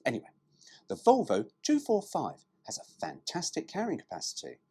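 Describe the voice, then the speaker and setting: A man presents in a mid-range voice with a husky edge. His intonation leaps about constantly as he moves between reading copy aloud and making irreverent asides. His manner is cheeky and informal, with some swearing. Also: a little high, wildly swooping pitch, normal speed, darkish tone. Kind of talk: reading a car brochure aloud with joking asides.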